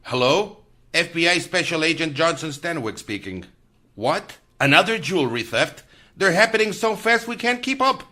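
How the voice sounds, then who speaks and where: A man plays an emphatic, blustering special agent in a radio play. The voice is forceful and swings widely in pitch. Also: very animated, normal speed. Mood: exasperated. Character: blustery, gruff-tempered male FBI special agent. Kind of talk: radio mystery acting.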